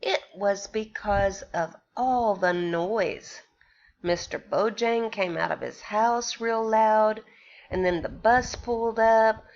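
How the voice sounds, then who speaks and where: A woman, a pre-K teacher, reading a picture book aloud to young children and pausing to ask them questions. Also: mid-range voice, gravelly, muffled recording. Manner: slow, sing-song storytelling with questions to the children.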